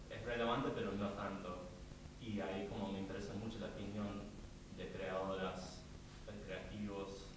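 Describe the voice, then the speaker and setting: A man's voice: fairly low pitch, background noise. Hesitant man, echoey hall from far off. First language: not Spanish. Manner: hesitant, searching for words, many restarts. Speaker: audience member asking a question. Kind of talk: casual spoken question at a panel. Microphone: distant room mic.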